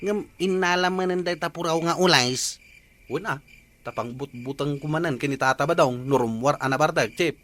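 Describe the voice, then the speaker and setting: A very high male voice from an actor plays a village mischief-maker. He is playful and conspiratorial, in the manner of Ilocano comedy radio drama. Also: moderate pace, a bit bright, faint music behind, lightly acted. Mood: gleeful scheming. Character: mischievous village prankster plotting to scare others.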